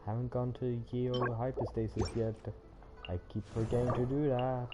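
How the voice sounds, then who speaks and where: A man doing casual, rambling livestream game commentary, thinking out loud to himself. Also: mid-range voice, little pitch movement, normal speed. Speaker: game streamer. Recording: loud game music behind voice. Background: video game music.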